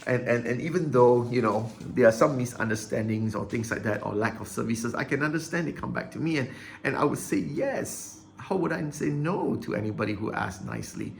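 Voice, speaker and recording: A man's voice: medium pitch, lively intonation, a little fast, creaky, a little hiss or hum. A man ranting into the camera about his grievances, agitated, repeating himself and stumbling over restarts. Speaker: vlogger. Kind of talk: aggrieved personal rant to camera.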